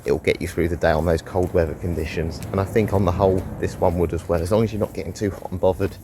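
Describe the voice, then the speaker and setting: A man's voice: deep voice, steady tone, quick pace, background noise. A man with a head cold, chatting casually through a review while out on a walk, rambling and now and then sighing. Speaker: fragrance reviewer. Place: outdoors on a walk.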